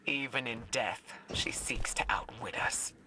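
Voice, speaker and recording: An actor voicing a solemn, shrewd mystic mentor in a video game, speaking in a measured, cryptic way. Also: medium pitch, sharp tone, whispered, rough recording. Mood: grave, resolute. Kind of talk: scripted video game dialogue.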